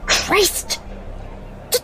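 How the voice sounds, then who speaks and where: A woman's voice: extremely slow, very noisy. An actress playing a wary, wry female commander in an audio drama. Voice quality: wry, guarded. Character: wary female commander alone in a hostile city.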